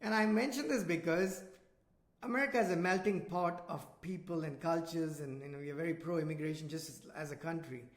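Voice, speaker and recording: A man's voice: fairly high pitch, fairly quick. Indian-accented man hosting, roomy sound. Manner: conversational, loose, self-deprecating asides. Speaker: podcast host. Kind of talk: casual interview conversation. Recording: roomy echo.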